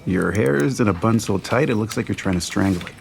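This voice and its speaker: A man's voice: fairly low pitch, lively intonation, quick pace, gravelly, background noise. An actor playing an earnest, lovesick young man confessing to a childhood friend. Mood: gentle. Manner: earnest, tender. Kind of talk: audio drama acting.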